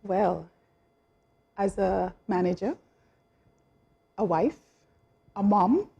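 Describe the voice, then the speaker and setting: A woman's voice: medium pitch, extremely slow, vocal fry, mellow, husky, dull audio. A middle-aged woman, chatting as a talk-show guest and laughing often as she tells her story. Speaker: calypso singer and guest. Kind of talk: casual interview chat.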